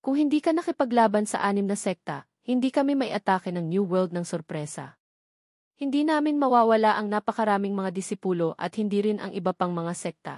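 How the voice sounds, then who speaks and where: A woman's voice: mid-range voice, brisk, darkish tone, no room sound, clean studio sound, lightly acted. A woman reading the audiobook part of a stern, angry sect leader, a man scolding his subordinate formally. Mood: angry reproach. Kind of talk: audiobook dialogue.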